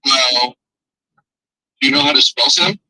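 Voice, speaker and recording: A man's voice: mid-range voice, takes it slowly, pushed and bright, no echo, poor audio. A man hosting a live stream, teasing and acting offended in casual banter and swearing freely.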